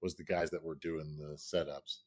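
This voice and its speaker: A man co-hosting a casual podcast chat, rambling and animated, often jumping up into high put-on quotes. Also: moderate pace. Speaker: podcast co-host.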